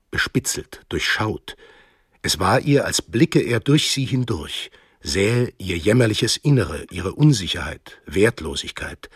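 A man's voice: low voice, husky, no room sound, studio quality. A man narrating a scripted radio drama as a storyteller.

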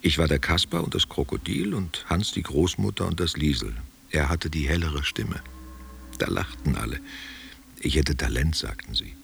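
A man's voice: a bass voice, moderate pace, creaky, fairly bright tone, a little hiss or hum, full character voice. An actor playing an old man, a reminiscing stage player who talks tenderly and theatrically in a literary radio drama. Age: older. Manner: musing, theatrical, answering unheard questions. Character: reminiscing old German actor, tender and musing over wine.

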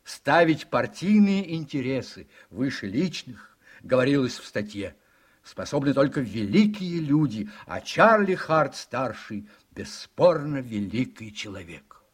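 A man narrating a radio play from a script in a storytelling manner, with a husky voice. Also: mid-range voice, very animated, a little slow.

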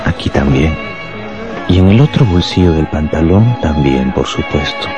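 An actor playing a smug, contemptuous anarchist bomb-maker in a radio drama, speaking deliberately. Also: male voice, rumbling low, animated, muffled recording. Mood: menacing, boastful. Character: small shabby anarchist bomb-maker, fanatical, contemptuous, boastful. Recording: loud music behind the voice.

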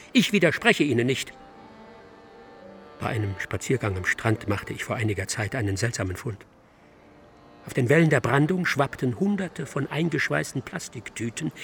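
A man's voice: medium pitch, gravelly. An actor in a German radio drama playing a distraught, guilt-ridden man, brooding and declamatory, who repeats himself in agitation. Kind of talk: radio drama acting, literary monologue.

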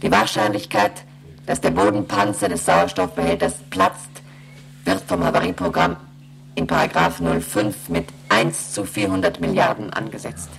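A woman playing an emotionless moon station computer in a radio play, reciting in a formal monotone. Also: rumbling low, moderate pace, rough recording. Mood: impassive. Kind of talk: German radio play acting.

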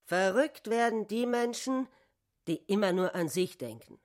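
A woman's voice: mid-range voice, lively intonation, measured pace, darkish tone, natural voice. A woman narrating a literary radio drama in a scripted storytelling style.

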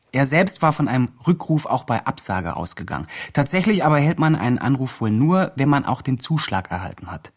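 An actor playing a well-meaning, self-justifying helper who gives careful, reflective reports in a radio play monologue. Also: male voice, mid-range voice, lightly acted.

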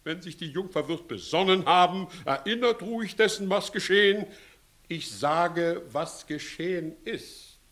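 An actor playing an evasive, flustered village judge who swings theatrically between bullying and coaxing in a classic German radio comedy. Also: male voice, high-pitched, measured pace, fairly bright tone. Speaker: judge in a courtroom.

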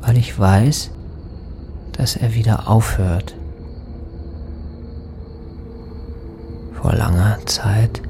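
An actor playing a lost soul in a poetic radio drama, halting and brooding, with a breathy, half-whispered voice. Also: male voice, on the low side, noisy background. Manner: slow, halting, hushed, brooding. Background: music.

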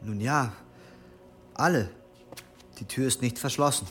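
A man's voice: mid-range voice, measured pace, fairly bright tone, faint music behind, lightly acted. An actor playing an earnest monk who serves his abbot, respectful and a little hesitant, in an audio drama. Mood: deferential. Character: deferential, earnest young monk serving the abbot. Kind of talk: German audio drama acting.

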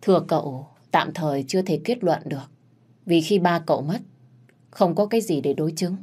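A woman voicing a sober older male physician who speaks gravely and carefully. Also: sits low, a little mellow. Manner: grave, measured.

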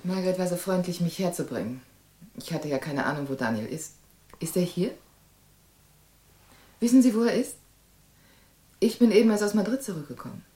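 A woman's voice: lowish voice, animated, normal speed, pauses often, dark tone, natural voice. An actress playing a worried, insistent woman searching for her partner in an audio drama. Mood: worried, polite. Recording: echoey, roomy sound.

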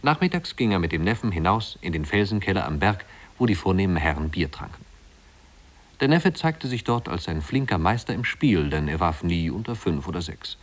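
Male storyteller for vintage radio drama, low register, composed, articulate literary narration. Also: very animated, brisk. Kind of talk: literary scripted narration, 1950s German radio play.

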